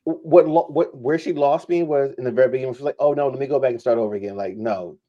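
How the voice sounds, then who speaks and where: A man of medium pitch hosts a casual recap show. He talks in an informal, conversational way, and the microphone sound is a little dull and lo-fi. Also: fast, warm tone. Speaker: podcast host.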